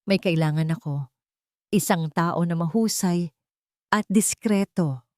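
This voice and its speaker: A betrayed wife from an audiobook drama, played by a woman narrator, speaks in a lowish, dry-recorded voice. She is soft but steady, choosing her words deliberately with cold determination. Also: no room sound, close to an everyday voice. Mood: quiet resolve. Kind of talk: audiobook character dialogue.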